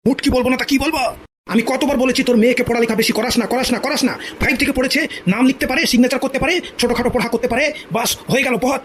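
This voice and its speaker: A man's voice: extremely high pitch, steady tone, racing, background noise. A man voicing a furious, domineering old village father in a comedy cartoon, scolding with indignant stuttered repeats. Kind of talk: exaggerated comedy cartoon dialogue.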